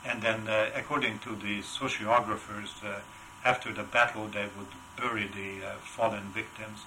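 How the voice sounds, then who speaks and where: An older man with a heavy Hungarian accent, answering interview questions in a halting way with many filler sounds. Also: lowish voice, fairly even intonation, bright, forceful tone, a little hiss or hum, poor audio. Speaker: wood carver, interview guest. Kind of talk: casual interview.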